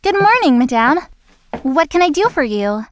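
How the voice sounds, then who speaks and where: Actress as high-pitched, dutiful young woman. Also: very animated, normal speed, a little hiss or hum. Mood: polite. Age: young adult. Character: dutiful, gentle young working daughter, playful friend. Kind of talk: visual novel voice acting.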